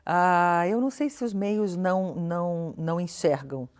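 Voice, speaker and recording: A woman with a Brazilian accent and a notably low voice speaks as an academic being interviewed. She thinks aloud in an articulate, questioning manner and stresses her key words as she explains. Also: measured pace. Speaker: interview guest, professor and researcher. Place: television studio interview.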